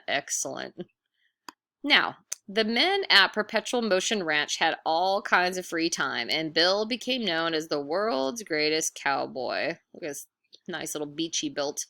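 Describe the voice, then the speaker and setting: A woman reads a children's storybook in a bright, forceful voice that sits a little low. She takes her time with the text and adds playful, amused asides straight to the listener. The sound is dry and close. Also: measured pace, no room sound. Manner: playful, amused, animated, addresses listeners directly. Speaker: read-aloud storyteller. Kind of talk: picture-book read-aloud with casual asides.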